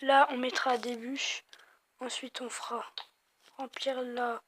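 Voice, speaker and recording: A woman's voice: fairly high pitch, flat delivery, slow pace. A woman with a southern French accent giving rambling, chatty commentary on her game, full of hesitations, recorded on a phone microphone. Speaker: let's play host. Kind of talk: casual gameplay commentary. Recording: loud noise on a glitchy phone mic.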